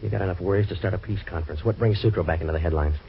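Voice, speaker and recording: A man's voice: sits low, very fast, gravelly. An actor playing a sardonic waterfront tough guy who narrates his own story in a 1940s radio drama, firing off dry wisecracks. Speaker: hard-boiled narrator and lead. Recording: dull lo-fi old radio recording, faint hiss.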